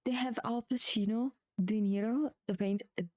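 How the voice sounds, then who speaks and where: A woman's voice: fairly high pitch, fairly even intonation, moderate pace, a little mellow, dry recording, studio quality. A woman live streaming a game with casual, offhand commentary. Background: game music.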